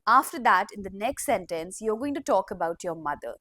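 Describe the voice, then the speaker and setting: A woman teacher, slowly dictating model sentences in a classroom language lesson. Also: mid-range voice, lively intonation, bright, forceful tone, no echo.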